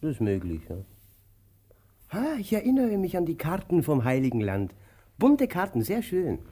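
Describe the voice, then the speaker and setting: An actor playing a weary, querulous, childlike male tramp in a radio drama, with a husky voice and a plaintive, grumbling manner. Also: a little high, huge pitch swings, normal speed, warm tone, full character voice. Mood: dreamy, wistful. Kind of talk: theatrical radio drama acting.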